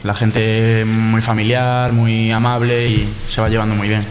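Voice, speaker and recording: A man, a football player, answering calmly and matter-of-factly. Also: lowish voice, a little slow, soft background music. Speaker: footballer guest. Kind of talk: casual chat. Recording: reverberant room with clear background noise.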